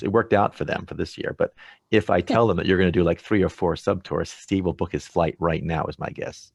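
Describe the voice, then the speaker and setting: A man's voice: low-pitched, vocal fry, darkish tone, no echo. A man hosting a casual interview, talking in a rambling, enthusiastic, salesy way. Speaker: host.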